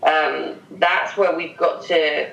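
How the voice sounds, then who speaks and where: Bright, gravelly-voiced dialect coach man. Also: on the high side, steady tone, slow, dull audio. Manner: encouraging, instructive, repetitive phrasing. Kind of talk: coaching session. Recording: echoey room.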